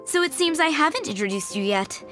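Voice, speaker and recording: A woman's voice: high voice, animated, brisk, a bit bright. A woman playing a polite, gracious and chatty tavern proprietress in a video game. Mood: genial. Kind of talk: scripted video game dialogue. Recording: faint music behind.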